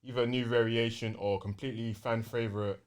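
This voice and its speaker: A man's voice: mid-range voice, little pitch movement, quick pace. A young man with a London accent chatting casually and enthusiastically on a gaming podcast, using plenty of slang.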